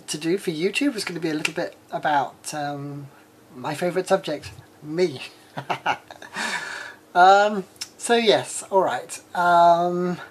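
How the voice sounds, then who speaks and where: Chatty low-voiced English woman in her forties. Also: a little mellow, a little hiss or hum. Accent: English with a slight Australian tinge. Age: 40s. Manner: rambling, many ums and uhs; chatty and frank. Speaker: vlogger. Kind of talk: casual personal vlog.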